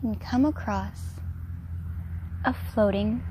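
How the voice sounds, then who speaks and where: A woman leading a guided meditation in a gentle, unhurried, soft-spoken way. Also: medium pitch, a little hiss or hum. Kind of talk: guided meditation, slow soothing narration.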